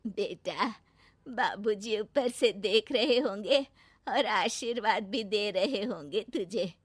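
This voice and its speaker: A woman voicing a stern, tradition-bound old village mother-in-law who scolds loudly. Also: slow pace, husky, dry recording. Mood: fond. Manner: scolding, shrill and exclamatory.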